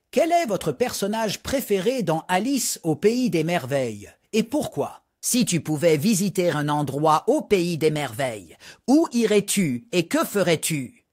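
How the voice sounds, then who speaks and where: Man, high voice, dry close sound, expressive storybook narration for small children. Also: dry recording. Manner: expressive read-aloud delivery.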